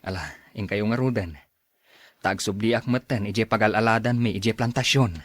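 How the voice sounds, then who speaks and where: A man's voice: lowish voice, moderate pace, dry recording, clean studio sound, close to an everyday voice. A man playing a humble, dutiful plantation worker in an audio drama, mild and hesitant in manner.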